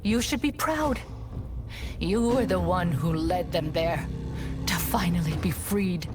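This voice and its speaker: A woman's voice: fairly low pitch, takes it slowly. An actress playing a bitter, fatalistic woman in thrall to anguish in a video game, bleak and resigned. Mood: bitter accusation. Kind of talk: dramatic video game voice acting. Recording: loud music under the voice.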